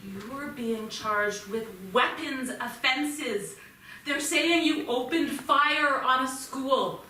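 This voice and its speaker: A woman acting an anxious, high-voiced pleading mother. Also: bright, forceful tone, full character voice. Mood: alarmed, upset. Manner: pleading, emotional, halting. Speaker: actor. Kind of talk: staged play reading. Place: theatre stage. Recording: echoey theatre, distant mic, faint noise.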